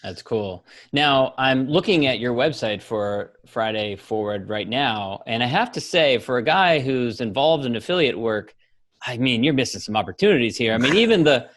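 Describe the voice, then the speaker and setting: A man speaks as a podcast host at an average male pitch. His delivery is conversational and presentational, suited to introductions and interviews. The sound is dry, with very little room in it. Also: animated, moderate pace, no room sound.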